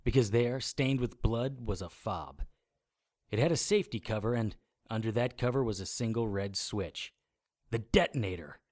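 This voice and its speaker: A man playing a supernatural detective who narrates his grim adventure in measured, hardboiled audio drama style. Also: fairly low pitch, darkish tone, no room sound, studio quality, natural voice. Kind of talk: first-person scripted audio drama narration.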